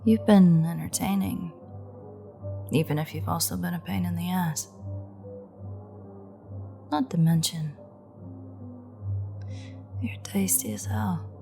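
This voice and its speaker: A woman acting as a predatory female vampire speaks in a slow, taunting drawl. Her voice sits fairly low and is breathy with a creaky edge, often sinking toward a whisper, in the close, teasing manner of a roleplay audio drama. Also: mellow, soft background music. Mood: sardonic, fond. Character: menacing, teasing female vampire gloating over captive hunter.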